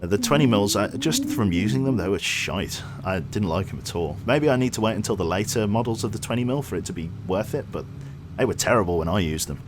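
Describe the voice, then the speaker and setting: Lively man streaming a game. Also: on the low side, fast, noisy background. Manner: excitable, swears casually, talks to crew. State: losing his voice. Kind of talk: gameplay commentary.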